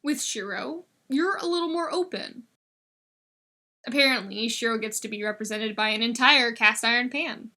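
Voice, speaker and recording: A woman's voice: on the high side, moderate pace, sharp tone. A woman voicing a blunt, teasing young man who gives a friend authoritative advice in an audiobook story. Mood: frank advice. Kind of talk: audiobook character dialogue.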